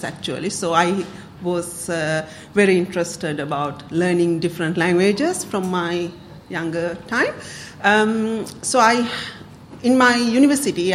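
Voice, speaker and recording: A woman's voice: fairly low pitch, a little hiss or hum. A woman from Sri Lanka giving a public talk to a club, speaking hesitantly with many fillers and the odd laugh. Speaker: community council speaker.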